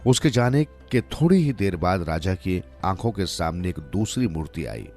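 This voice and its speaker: A man reading scripted moral tales as a storyteller, in a measured, expressive way. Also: deep voice. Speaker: storyteller narrator. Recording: music under the voice.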